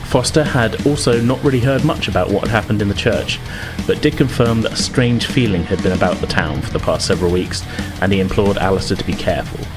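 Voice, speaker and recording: A man hosting a tabletop gaming podcast as game master, talking in an informal, conversational way. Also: medium pitch. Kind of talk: casual podcast intro, recap and game narration.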